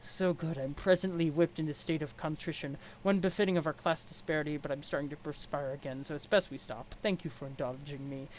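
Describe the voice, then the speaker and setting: A performer plays a haughty male alien noble in a fan-made comic dub. He speaks stiffly and formally, choosing pedantic, halting words, and seems easily rattled. There is clear noise behind the voice. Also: medium pitch, steady tone, darkish tone, lightly acted, rough recording. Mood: flustered, formal. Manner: stiff, pedantic, halting, over-polite diction.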